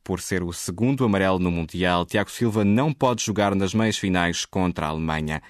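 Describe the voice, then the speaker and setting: A man reading scripted radio news in a steady, measured way. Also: low voice, no echo, clean studio sound. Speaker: newsreader.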